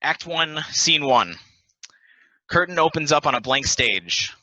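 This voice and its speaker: A man narrating stage directions at a play table read in a plain, deliberate, announcing manner. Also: on the high side, expressive, sharp tone, no room sound, poor audio.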